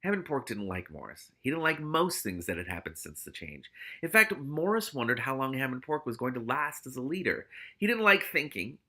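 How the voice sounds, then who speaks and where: A man with a very bright, forceful voice reads a novel aloud as a host. His delivery is lively and swings widely in pitch, with casual asides between the narrated lines. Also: a little fast. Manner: animated, stumbles and breaks off to comment. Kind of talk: audiobook-style read-aloud with casual reactions.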